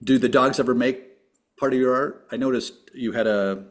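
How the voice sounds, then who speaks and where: A man's voice: mid-range voice, steady tone, normal speed. A man hosting a podcast, asking his guest light, upbeat questions in a friendly way. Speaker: podcast host. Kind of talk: casual interview questions.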